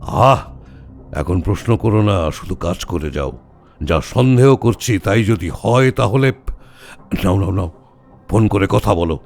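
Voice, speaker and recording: A man's voice: low voice, animated, measured pace, creaky, long pauses, a little mellow, faint music behind, exaggerated voice. An actor playing a brusque, sardonic older male detective who gives orders in a wry, clipped way in an audio drama. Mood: brisk, commanding. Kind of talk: audio story acting.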